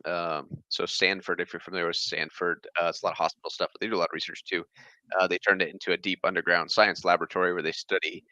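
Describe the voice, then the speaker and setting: A man's voice: deep voice, flat delivery, fast talker, sharp tone, no room sound, rough recording. A man in his thirties who was born in Germany and raised in the US, talking as an interview guest in a casual, rambling way with many fillers. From born in Germany, raised across the US. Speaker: guest, fish channel creator.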